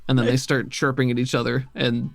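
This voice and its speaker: A man's voice: mid-range voice, little pitch movement, fairly quick, creaky, no echo. A man acting as game master of a tabletop role-playing game, talking casually.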